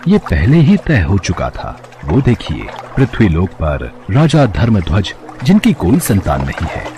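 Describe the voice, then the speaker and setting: An actor playing a calm, reassuring god and husband in a devotional cartoon, speaking formally. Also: male voice, deep voice, heavily acted. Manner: formal, measured, consoling. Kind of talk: Hindi devotional cartoon dialogue.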